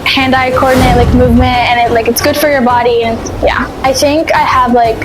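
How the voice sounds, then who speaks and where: Give me a high-voiced eighteen-year-old woman, hesitant and casual. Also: flat delivery, fairly quick, low-quality recording. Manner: hesitant, filler-heavy. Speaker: contestant. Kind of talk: casual interview. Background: music.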